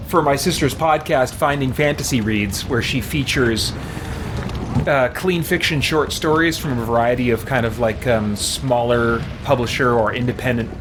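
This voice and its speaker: Casting: male streamer, medium pitch, informal halting gameplay chat, thinking aloud, game music underneath. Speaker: streamer playing a video game. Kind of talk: casual live gameplay commentary.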